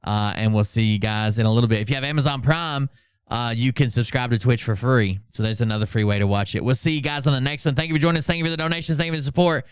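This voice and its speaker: Sarcastic male livestreamer, smooth dry sound. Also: mid-range voice, steady tone, quick pace, dry recording. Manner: sarcastic, blunt, profane. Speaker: livestream host. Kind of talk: casual livestream commentary.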